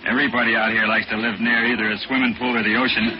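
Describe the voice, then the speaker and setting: An actor playing a laconic, blunt chauffeur with deadpan, plain-spoken delivery in a 1940s radio drama. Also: male voice, mid-range voice, racing, lightly acted. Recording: muffled 1940s radio, faint noise.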